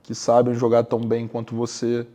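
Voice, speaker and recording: A man's voice: medium pitch, monotone, moderate pace, warm tone. A Brazilian man hosting a podcast interview, often hesitating and repeating words as he asks his questions. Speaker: podcast host. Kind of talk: casual interview talk.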